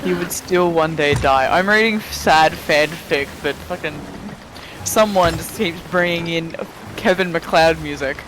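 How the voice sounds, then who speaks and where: A man's voice: fairly high pitch, unhurried. A man reading a story aloud dramatically on a live voice chat, breaking off to swear and chat casually with friends. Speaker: fan fiction reader on a live stream. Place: live-streamed group voice chat. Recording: noisy voice chat, steady background noise.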